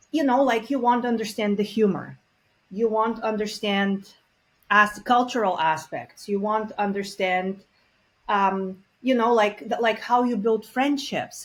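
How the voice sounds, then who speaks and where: A woman, a guest in an interview, talking earnestly and reflectively with frequent pauses and fillers. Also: mid-range voice, rough recording. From Bulgaria. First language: Bulgarian. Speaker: guest expert on multilingual parenting. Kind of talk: conversational interview.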